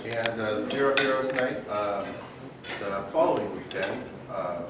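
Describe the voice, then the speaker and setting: Man making halting announcements in echoey room. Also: fairly low pitch, over a noisy crowd. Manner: halting, many fillers. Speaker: meeting host. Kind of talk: casual club announcements. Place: banquet room with dishes clinking. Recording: echoey room from far off, loud noise.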